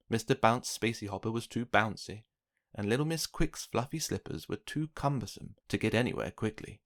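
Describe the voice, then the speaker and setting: A man narrating a children's picture book, reading aloud with expressive, dramatic emphasis. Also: mid-range voice. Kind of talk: scripted storybook narration for children.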